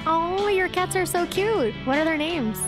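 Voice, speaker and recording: Valentina, bubbly high-pitched flirt. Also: female voice, expressive, measured pace, music in the background. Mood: gushing. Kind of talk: bubbly audio drama acting.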